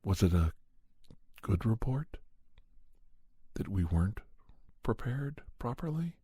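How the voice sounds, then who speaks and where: A man with a soft, breathy, raspy voice telling horror stories in a hushed, deliberate ASMR style, close to the microphone. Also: fairly low pitch, sing-song, no echo. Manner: slow, hushed, deliberate delivery. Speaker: podcast host and storyteller. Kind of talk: soft ASMR first-person horror storytelling.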